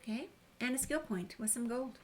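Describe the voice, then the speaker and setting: A woman's voice: a little high, moderate pace, a little mellow, slight background noise. A woman giving casual, chatty let's play commentary on a video game, playfully talking back to the characters. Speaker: gamer doing a video game walkthrough.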